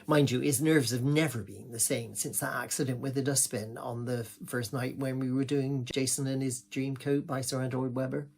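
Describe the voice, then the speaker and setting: A man's voice: medium pitch, steady tone, normal speed, gravelly, hardly any pauses, a little mellow, breathy edge. A man with an English accent performing a gossipy comic monologue, rambling along in an indignant village-gossip manner.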